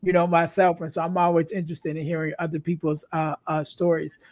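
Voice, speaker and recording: Halting woman hosting a casual interview. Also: sits low, level tone, a little mellow. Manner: halting, repeats words. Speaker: podcast host.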